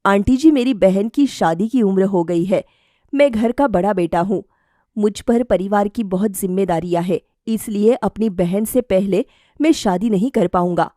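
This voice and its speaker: A mild, dutiful young man from a cartoon moral story, read by a woman. The delivery is sincere and measured, a little hesitant, in a dry close recording. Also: mid-range voice, warm tone, no room sound, natural voice. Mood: respectful, firm.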